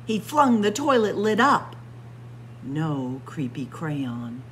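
A woman reading a picture book aloud in a dramatic, suspenseful story-time style, sometimes hushed for emphasis. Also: sits low, slow pace, a little hiss or hum. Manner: suspenseful, expressive, drops to hushed whispers for emphasis. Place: indoor library room with bookshelves and windows.

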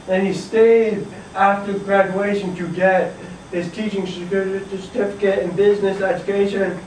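A teenage boy giving a nervous, stumbling class presentation in a school classroom, recorded by a camera across the room. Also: high-pitched. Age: teens. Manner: nervous, laughing, stumbling over words. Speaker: student presenter. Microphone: far camera. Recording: echoey classroom from far off, faint hiss.

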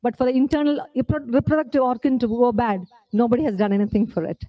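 A woman's voice: fairly high pitch, fairly even intonation, fast, warm tone, no echo, poor audio. A woman in her forties from India gives an earnest, emphatic speech at a podium microphone on an indoor stage, asking the audience rhetorical questions. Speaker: author at her book launch.

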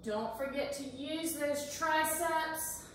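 A woman's voice: sits high, slow, a little hiss or hum. A woman in her forties leads a kitchen workout as a fitness instructor, counting reps and encouraging the viewer as she exercises. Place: large home kitchen, indoors. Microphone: camera across the room. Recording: echoey kitchen, voice from far off.

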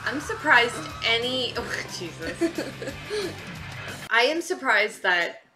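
A woman's voice: fairly high pitch, animated, slow pace, over music, low-quality recording. A woman in her thirties giving casual, sarcastic, incredulous commentary as she reacts on a movie. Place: living room couch, indoors.

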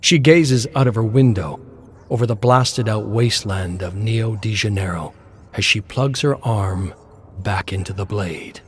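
A man's voice: fairly low pitch, unhurried, a little hiss or hum. A man reading a scripted story as narrator, in a dramatic style.

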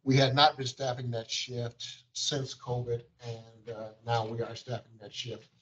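A man's voice: mid-range voice, flat delivery, unhurried, a bit bright, a little hiss or hum. Male administrator reading monthly figures to a board; bureaucratic, filler-heavy delivery, dull meeting-room recording. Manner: wordy, bureaucratic phrasing with frequent fillers. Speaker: agency administrator. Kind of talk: formal board meeting report. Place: large conference room.

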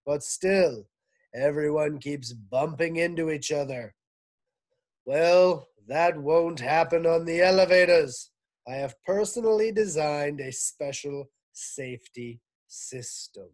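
A man doing a pompous, blaring school principal. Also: on the high side. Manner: loud, announcing, self-important.

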